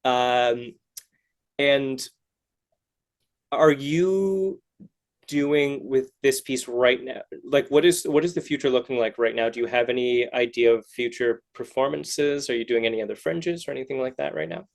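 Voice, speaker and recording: A man hosting a casual interview, asking questions in a halting way and often rephrasing them midway. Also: medium pitch, vocal fry. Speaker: podcast host, interviewer.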